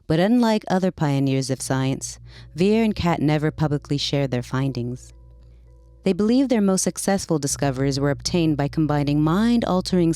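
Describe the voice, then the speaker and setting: A woman's voice: low-pitched, mellow. A woman narrating a short story as a dramatic reading.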